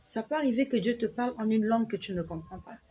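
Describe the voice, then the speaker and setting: A woman giving an earnest devotional exhortation to her viewers, repeating phrases slowly and letting her voice sink soft at the ends of lines. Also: a little high, animated, slightly rough. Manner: earnest, repetitive, slow emphatic phrasing. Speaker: online preacher.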